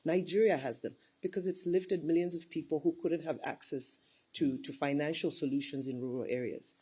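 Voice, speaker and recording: Woman panelist, husky low-key voice, persuasive, stacks up examples. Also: steady tone, normal speed, dark tone. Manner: earnest, persuasive, lists examples. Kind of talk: panel discussion.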